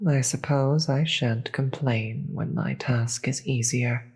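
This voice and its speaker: A performer as a slow, husky, world-weary demon queen. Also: medium pitch, steady tone, a little mellow. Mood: condescending, amused. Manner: theatrical, formal, slow deliberate delivery. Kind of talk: scripted audio roleplay.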